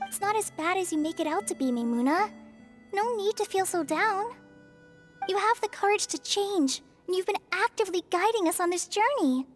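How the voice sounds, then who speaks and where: A woman's voice: very high-pitched, lively intonation, moderate pace. An actress voicing a kind, sincere, encouraging young girl in a video game cutscene, with an earnest, reassuring manner. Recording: game music under the voice.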